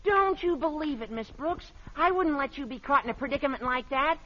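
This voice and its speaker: A man playing an eager, helpful, meddling teenage schoolboy with a squeaky youthful voice in a vintage radio sitcom. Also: brisk. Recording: muffled old radio broadcast with hiss.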